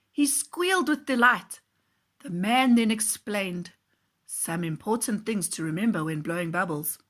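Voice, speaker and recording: A woman teacher reading a children's story aloud in an expressive, deliberate storytelling way. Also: on the high side.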